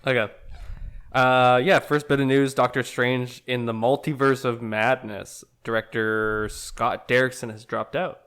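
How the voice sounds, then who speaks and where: A man with a smooth, clear, mid-pitched voice co-hosting a podcast. He talks in a relaxed, teasing way, pausing and restarting as he brings up news items. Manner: relaxed, teasing, halting when reading out news. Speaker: podcast co-host. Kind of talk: casual chat.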